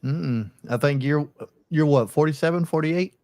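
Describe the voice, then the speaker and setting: A man's voice: on the high side, normal speed, a little mellow, no echo. A man in his forties with a Southern American accent, hosting a casual live chat. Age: 40s. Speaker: host.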